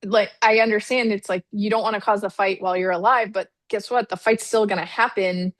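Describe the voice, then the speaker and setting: A woman's voice: medium pitch, steady tone, a little fast, no echo. Chatty woman hosting a webinar. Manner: chatty, laughs often, many fillers. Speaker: webinar host. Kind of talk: casual webinar moderating. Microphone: video call.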